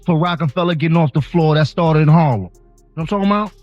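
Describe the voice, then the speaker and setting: A man's voice: a little high, racing, no room sound, faint music behind. A man as an interview guest, bantering casually in a dull lo-fi recording.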